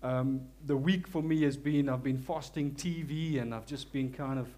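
A man with a South African accent preaching a church sermon, earnest and humble, with frequent restarts and softer, slower prayer passages. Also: medium pitch, steady tone. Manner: prays softly and slowly. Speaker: lay preacher.

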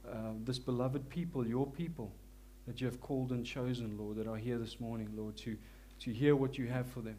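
A man's voice: medium pitch, steady tone, a little hiss or hum. A man with a South African accent gives a sermon to a church congregation. He speaks earnestly and humbly, stopping and restarting often as he feels for his words. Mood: prayerful. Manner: prays softly and slowly. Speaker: lay preacher.

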